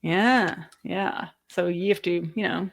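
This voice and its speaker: A woman's voice: on the low side, takes it slowly, gravelly. A woman in her fifties with a South African accent, co-hosting a podcast from her home office in a reflective, chatty way with many restarts. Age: 50s. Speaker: podcast co-host. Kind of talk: casual conversation.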